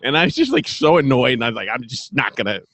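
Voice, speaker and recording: Male podcast co-host, casual, halting, rambling and sweary, dry close recording. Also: mid-range voice, huge pitch swings, a bit bright, no room sound.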